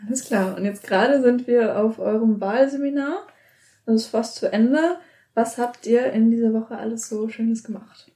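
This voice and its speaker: A woman's voice: on the high side, moderate pace, warm tone. A young German woman hosting a podcast, chatting casually with seminar participants and asking friendly follow-up questions in a wooden hut. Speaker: podcast host. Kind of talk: casual interview. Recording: roomy echo.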